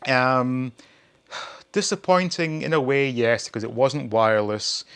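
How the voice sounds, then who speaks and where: A man's voice: medium pitch, unhurried, a bit bright, clean tone. Casual man in his forties reviewing retro toys. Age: 40s. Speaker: host of a retro toy channel. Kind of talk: casual hobby review to viewers.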